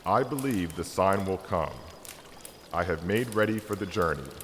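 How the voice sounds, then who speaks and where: Man reading as deep, solemn, devout Magus. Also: animated, moderate pace, fairly bright tone. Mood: earnest, hopeful. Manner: solemn, earnest declamation. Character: Artaban, devout, hopeful Persian Magus and stargazer. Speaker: amateur church reader. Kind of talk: dramatic reading of a Christmas story. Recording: echoey hall reverb with background noise.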